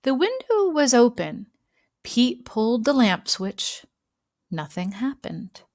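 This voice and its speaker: A woman reads a children's story aloud in an expressive narrating style, phrasing deliberately and leaving dramatic pauses. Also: on the high side, dry recording, studio quality. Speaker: storybook reader.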